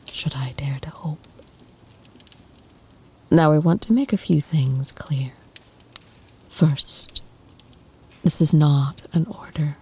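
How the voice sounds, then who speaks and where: A woman voicing an ancient, tender and protective female vampire in an intimate ASMR roleplay, speaking softly, half in whispers, with formal, deliberate phrasing. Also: deep voice, creaky, a little hiss or hum, full character voice. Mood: tender, hopeful, then earnest, careful.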